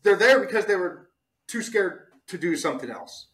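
A man's voice: sits high, animated, moderate pace, a bit bright. A man hosting a podcast, talking casually in an earnest, emphatic, motivational way and repeating his points for weight. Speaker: podcast host.

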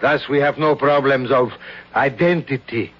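A man's voice: mid-range voice, measured pace, natural voice. An actor playing a suave, formal male emissary of a monarchy in a 1970s radio drama. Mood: condescending. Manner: formal, ceremonious, smoothly commanding. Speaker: foreign official escorting the actress. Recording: muffled old radio broadcast with hiss.

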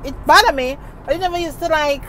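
A woman's voice: sits high, fast talker, sharp tone. Emphatic woman vlogging in a car. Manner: emphatic, repetitive, earnest. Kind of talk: casual talk to camera. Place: inside a car, daytime. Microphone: wired earphone mic, phone held close. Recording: car and street noise.

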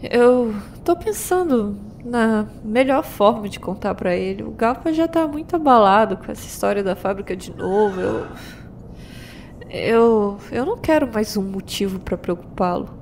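A woman with a Brazilian accent voicing a pregnant young fighter who talks with halting restarts, in an audio drama. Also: mid-range voice, expressive, slight background noise, close to an everyday voice. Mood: worried. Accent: Brazilian Portuguese. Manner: hesitant, stumbling restarts.

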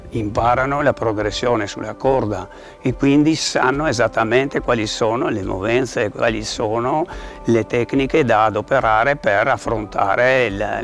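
A man explains things to camera in a halting way, repeating words as he searches for the next. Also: mid-range voice, gravelly. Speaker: president of a regional caving federation. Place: outdoors by a rock face.

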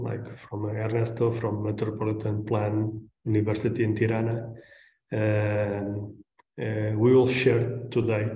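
A man in his forties with a Portuguese accent, giving an informal online lecture in a halting, hesitant way. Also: lowish voice, dark tone, a little hiss or hum. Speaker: guest lecturer, architect. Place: indoors on a video call, roomy sound. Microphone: video call at desk distance. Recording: roomy, echoing video-call sound.

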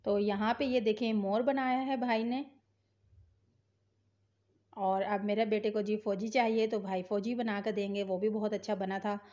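A woman hosting her own vlog, talking in a chatty, unhurried way with small fillers. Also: mid-range voice, steady tone, mellow. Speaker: vlog host. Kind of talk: casual vlog voice-over.

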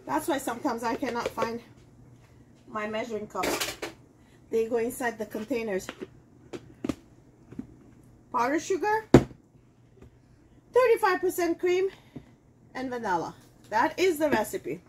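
A woman's voice: a little high, lively intonation, unhurried, pauses often, very noisy. A middle-aged woman, a native Armenian speaker, chatting casually through a cooking demo in her home kitchen, with loose phrasing and many fillers. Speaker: cooking show host selling kitchenware.

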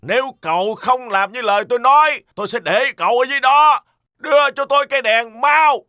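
A man's voice: very high-pitched, brisk, pushed and bright, clean tone, no room sound, clean studio sound. A man playing a sly, menacing villain in a cartoon fairy tale, commanding and theatrical. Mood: angry, threatening. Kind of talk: animated fairy tale dub.

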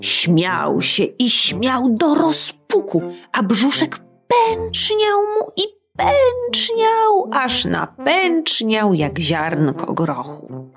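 Brief: woman narrating a kids' fairy tale, leisurely and very melodic, soft music under the voice. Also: fairly high pitch, very slow. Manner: expressive, sing-song storytelling. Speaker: storyteller. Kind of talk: children's audiobook narration.

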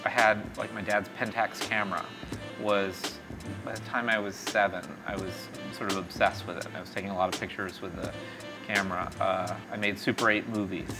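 A man's voice: fairly low pitch, steady tone, fairly quick, music in the background. A middle-aged man from East Texas, born in Mexico, talking in a casual interview in a small café, rambling with many fillers and restarts. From born in Mexico, raised in East Texas. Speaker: startup founder and CEO, interview guest. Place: small café by a street window.

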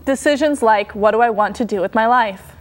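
A young woman co-hosting a studio TV show, reading scripted lines with a clear, rehearsed delivery. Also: a little high, fairly quick. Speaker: co-host. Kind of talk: scripted TV presenting. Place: indoor TV studio. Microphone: lapel.

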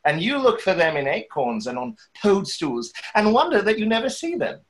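A man's voice: high voice, very animated, moderate pace, fairly bright tone. A young man playing a mysterious cloaked stranger who poses as a fairy, speaking in lofty, poetic riddles in a play reading. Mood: grand, solemn. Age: young adult. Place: small room at home, on a video call.

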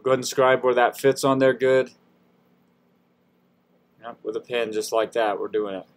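A man's voice: mid-range voice, fairly even intonation, normal speed. A man giving a casual, folksy how-to voice-over in an informal, self-deprecating way. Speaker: DIY tutorial host.

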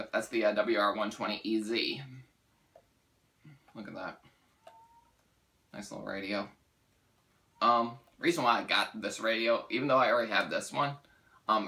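Low, bright-voiced young American man. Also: breathy edge, dry recording. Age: young adult. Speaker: weather radio hobbyist, video host. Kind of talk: casual how-to tutorial and unboxing.

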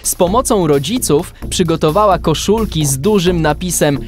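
A man's voice: a little high, normal speed, soft background music, close to an everyday voice. A man narrating a children's adventure in a scripted audio drama. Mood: storytelling. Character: storyteller of a kids' adventure.

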